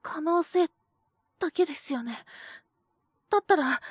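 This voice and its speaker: A woman's voice: high voice, animated, measured pace, no room sound. A woman voicing an earnest, naive young man who speaks politely and hesitantly, pleading with resolve, in an audio drama. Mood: earnest, resolved. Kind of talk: Japanese situational audio drama acting.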